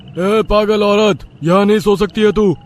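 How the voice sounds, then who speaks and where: A man voicing a rude, greedy policeman who talks in a gruff, bossy way, in a cartoon story. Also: sits high, fairly even intonation, a little slow, slight background noise.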